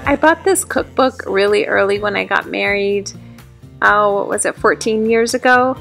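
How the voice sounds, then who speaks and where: A chatty, upbeat woman in her thirties talks straight to her viewers about her home and family. Her mid-range voice has a gravelly, fry-tinged edge, and faint music plays underneath. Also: mid-range voice, moderate pace, fairly bright tone. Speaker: home vlogger. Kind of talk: casual vlog narration. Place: family home, bedrooms and kitchen.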